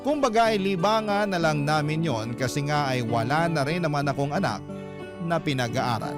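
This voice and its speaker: A man's voice: fairly high pitch, expressive, normal speed. A man narrating a scripted first-person letter as a radio storyteller, in an emotive storytelling style. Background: guitar music.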